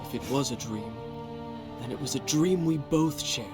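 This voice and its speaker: A man's voice: mid-range voice, moderate pace, lightly acted. A man playing a haunted young prince telling his tragic memories as dramatic video game narration. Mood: wistful. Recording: loud music under the voice. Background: loud score music.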